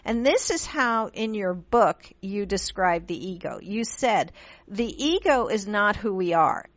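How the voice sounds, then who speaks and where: A woman hosts a radio talk show in casual, animated chat, laughing often and restarting her sentences. The recording is dry and somewhat dull, with little room sound. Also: mid-range voice, no room sound. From Pakistan, raised in London and Montreal.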